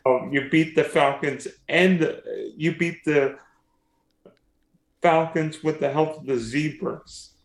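A man's voice: a little high, fairly even intonation, slow pace. A man hosting a casual sports podcast, teasing and quizzing his guest. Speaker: podcast host. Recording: roomy echo.

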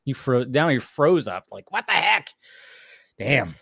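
A man's voice: mid-range voice, very animated, unhurried, gravelly, pushed and bright, husky, dry recording, clean studio sound. A man co-hosting a casual discussion show, talking in a rambling, slangy way that wanders into tangents. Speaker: co-host of animated series discussion show. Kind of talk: casual chat.

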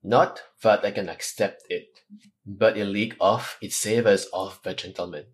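A man's voice: lowish voice, steady tone, moderate pace, close to an everyday voice. A man reading the part of a proud, wounded noble swordsman, in a formal and courtly manner. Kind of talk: audiobook character reading.

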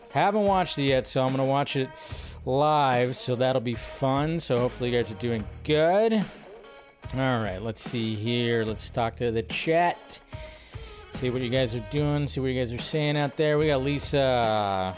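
A man hosting a livestream in a casual, rambling, informal way, chatting to viewers and repeating his phrases. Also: medium pitch. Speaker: livestream host. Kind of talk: casual livestream chat, greeting viewers and reading comments.

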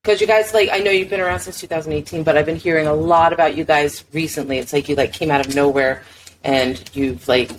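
A woman's voice: low voice, fairly even intonation, quick pace, a little hiss or hum, poor audio. A woman hosting a music podcast, chatty and upbeat as she interviews a guest. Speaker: podcast host.

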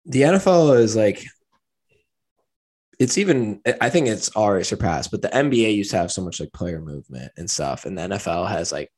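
A young man co-hosting a casual sports podcast, his voice raspy and tired, easygoing in manner. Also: on the low side, lively intonation, brisk, darkish tone. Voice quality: slightly raspy. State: losing his voice. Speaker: podcast co-host.

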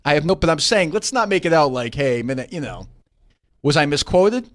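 A man's voice: fairly high pitch, sing-song, a little fast. A man in his forties bantering casually as a talk show guest, with a provocative, needling manner. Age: 40s.

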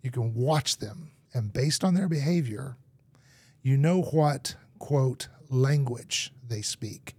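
A man who grew up in Argentina, a podcast guest telling lively stories in conversation. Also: medium pitch, takes it slowly, breathy edge, no room sound. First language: English. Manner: lively storyteller acting out anecdotes. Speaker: guest, marketing executive.

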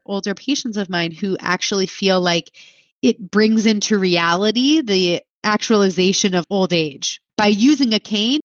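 A woman's voice: on the low side, dry recording. Reflective woman in her thirties, dull dry audio. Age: 30s. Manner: thinks aloud, reflective, many filler likes. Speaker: clinician guest. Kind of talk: conversational interview answer.

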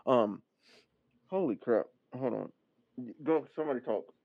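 A man's voice: medium pitch, lively intonation, measured pace, creaky, dry recording. Man with dry, dull-sounding podcast audio. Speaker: podcast lead host. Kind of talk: casual discussion and banter.

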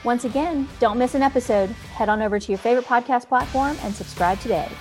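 A woman's voice: a little high, fast, music in the background. A woman hosting a podcast, gushing and enthusiastic, chatting and reading sponsor spots. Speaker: podcast host. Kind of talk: casual podcast chat and sponsor reads.

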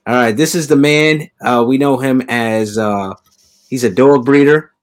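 Male podcast host, average pitch, jokey ribbing tone. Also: measured pace. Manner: joking, teasing, laughs often. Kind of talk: casual podcast chat.

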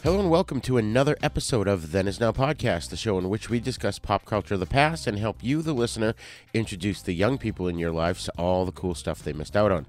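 A man's voice: on the low side, expressive, quick pace, a little mellow, soft background music. A man with a Boston accent hosting a podcast, chatting casually and laughing often. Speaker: podcast host.

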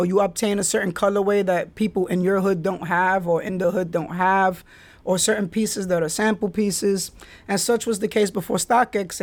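A man hosting a podcast, telling stories in a laid-back way with street slang. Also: high-pitched. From the Bronx, New York. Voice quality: raspy. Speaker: podcast host. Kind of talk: casual solo podcast storytelling.

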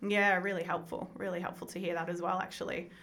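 A woman's voice: fairly low pitch, flat delivery. A woman hosting a podcast interview, asking long, rambling questions in a casual way. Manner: quick, bright, rambling questions with fillers. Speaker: podcast host.

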